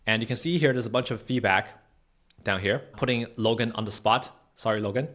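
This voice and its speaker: Man hosting a casual software tutorial. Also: medium pitch, brisk. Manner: conversational, explanatory, checks in with "right?". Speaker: tutorial host.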